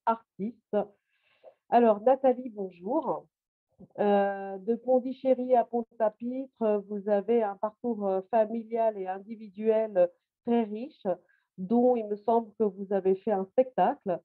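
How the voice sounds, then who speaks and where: A woman hosts a webinar and speaks very slowly, pausing often as she introduces a guest. Her voice comes through a dry, phone-like line with no room sound. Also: mid-range voice, dry recording. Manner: halting, many fillers. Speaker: webinar host. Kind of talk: hesitant moderation. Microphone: video call.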